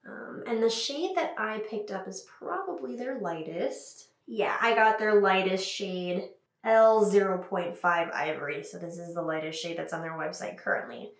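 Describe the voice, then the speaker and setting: A woman's voice: medium pitch, moderate pace. A young woman with a stuffy cold voice, chatting upbeat through a makeup tutorial with frequent fillers. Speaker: beauty vlogger. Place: small room indoors. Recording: echoey room.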